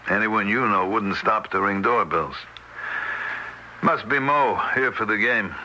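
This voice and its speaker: An actor playing a weary, devoted older husband in a 1970s radio drama, halting and emotional, anxious and wry by turns. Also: male voice, on the low side, sharp tone. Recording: noisy 1970s radio broadcast recording.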